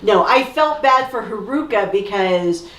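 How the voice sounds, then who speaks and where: A woman's voice: medium pitch, expressive, a little slow, fairly bright tone. A middle-aged woman chatting casually as co-host of a fan discussion show. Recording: echoey room.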